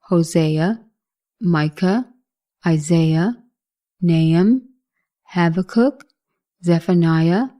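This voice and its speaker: Mellow female Bible-lesson narrator. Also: medium pitch.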